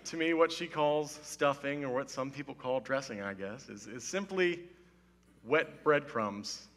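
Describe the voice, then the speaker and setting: A slightly hoarse man in his thirties preaches in a loose, joking way, putting on mock-serious drama. His tone is bright and forceful. A long reverb from the hall trails his words. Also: medium pitch, unhurried, a little hiss or hum. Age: 30s. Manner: playful, self-mocking, mock-serious. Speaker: pastor. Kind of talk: casual humorous sermon. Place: church stage with wood-panelled wall. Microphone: headset mic.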